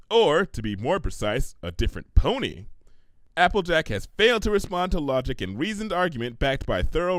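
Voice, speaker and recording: A man's voice: medium pitch, a bit bright, dry recording, pitched above the performer's own voice. A man voicing an earnest, bookish female unicorn pony who is exasperated and authoritative, speaking in a wordy, lecturing, emphatic way.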